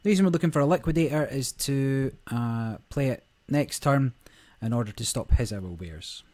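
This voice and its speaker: A man hosting a gaming channel, talking through a card game in a relaxed, rambling way, his voice a little croaky. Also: mid-range voice, moderate pace. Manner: relaxed, rambling, many fillers. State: slightly croaky voice. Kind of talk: casual gameplay commentary.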